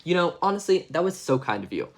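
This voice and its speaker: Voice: mid-range voice, sing-song, fast, gravelly. A storyteller voicing a mischievous young schoolboy who wheedles and pleads. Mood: cheeky. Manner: cheeky, pleading.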